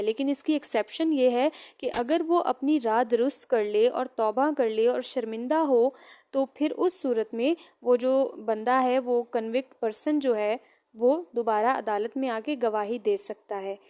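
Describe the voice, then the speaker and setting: A woman's voice: fairly high pitch, dark tone. A woman with a Pakistani accent giving an explanatory law lecture in a didactic way, reading out points and explaining them, switching between two languages.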